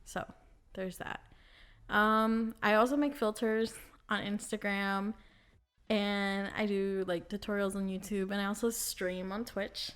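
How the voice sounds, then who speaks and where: A woman's voice: medium pitch, flat delivery, unhurried, clean tone. Giggly young woman hosting a chat. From Peru. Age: young adult. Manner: laughs often, chatty and upbeat. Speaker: podcast host. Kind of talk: casual chat.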